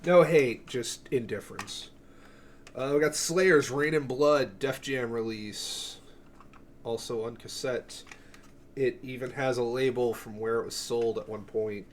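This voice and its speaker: A man with a medium-pitched voice talks casually through a show-and-tell of his collection. He rambles in an offhand way, cuts himself off and curses freely. There is some noise in the recording. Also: a little slow. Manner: offhand, profane, self-interrupting. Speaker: music collector presenting a cassette collection.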